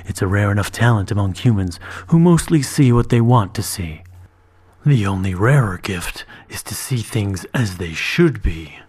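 A man voicing an ancient dragon who poses as a knight, speaking slowly and solemnly. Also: fairly low pitch, animated, a little mellow. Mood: sage. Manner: slow, measured, solemn. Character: ancient dragon posing as knight, calm and wise.

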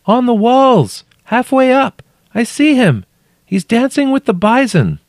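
Trapped cave explorer, female male narrator acting, high strained calls, halting, awestruck, audiobook drama, very dry sound. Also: big pitch swings, darkish tone, no echo. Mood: dazed wonder. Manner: calling out from a distance in short halting phrases. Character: trapped injured woman calling up from a cave, awestruck, dazed.

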